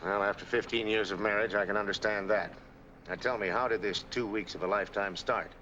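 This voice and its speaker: A man's voice: lowish voice, steady tone, a little fast, bright, forceful tone, highs cut off. An actor playing a brusque, skeptical American man in a 1940s film noir, matter-of-fact and wry. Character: brusque, skeptical married man, hard-nosed fact-finder. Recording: 1940s film soundtrack, faint background noise.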